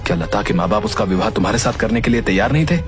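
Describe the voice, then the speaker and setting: A man's voice: on the low side, expressive, racing, over music, close to an everyday voice. A man voicing an anxious, indignant young husband in a cartoon story, speaking with urgent agitation. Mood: agitated. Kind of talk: Hindi animated story acting.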